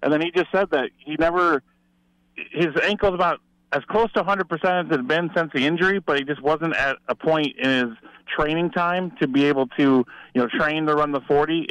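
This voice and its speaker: A man's voice: fairly high pitch, brisk, fairly bright tone, clear, resonant tone, dry recording. Man on slightly dull remote line. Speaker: sports reporter, show guest. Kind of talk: conversational football analysis.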